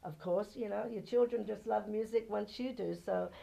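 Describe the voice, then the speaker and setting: A woman with a non-American English accent hosts a small local TV talk segment. She talks in a bubbly, gushing way and turns often to the viewers. Her voice sits at a middling pitch. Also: moderate pace, gravelly, a loud crowd. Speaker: host of a local TV show. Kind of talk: chatty TV interview.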